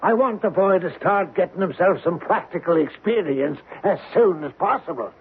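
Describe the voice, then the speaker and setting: A man's voice: high-pitched, expressive, normal speed, slightly rough, full character voice. An actor playing a cheerful older father and ex-convict, hearty and lilting, in an old-time radio drama. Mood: hearty, delighted. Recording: muffled, narrow-band vintage radio recording.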